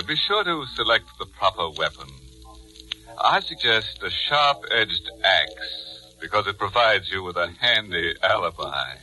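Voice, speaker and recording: A ghoulish horror host, lowish forceful voice, unhurried, sardonic morbid puns, 1940s radio. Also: male voice, exaggerated voice, rough recording. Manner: morbid punning, tongue-in-cheek. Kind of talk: 1940s radio mystery hosting. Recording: old 1940s radio recording, faint music.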